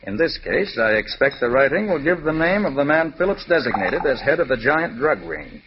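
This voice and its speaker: Master detective for a male actor: assured, authoritative, animated delivery, muffled old radio crime serial. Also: mid-range voice, brisk, natural voice. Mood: measured suspense. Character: confident, clever, defiant male master detective. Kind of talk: 1940s radio crime drama acting. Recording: muffled, faintly noisy old radio recording.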